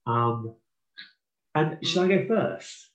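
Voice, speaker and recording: A man's voice: mid-range voice, expressive, slow, reverberant, rough recording. A man from Norfolk co-hosting a casual live podcast, chatty and self-deprecating, with a stuffy nose from a cold. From Norfolk, England. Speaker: podcast co-host.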